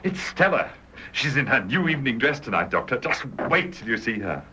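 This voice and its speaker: An actor playing a high-spirited, affectionate crippled husband in a British radio drama, with an excitable, teasing, emphatic British delivery. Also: male voice, brisk, husky, full character voice. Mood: excited, teasing. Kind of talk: British stage-play radio drama acting.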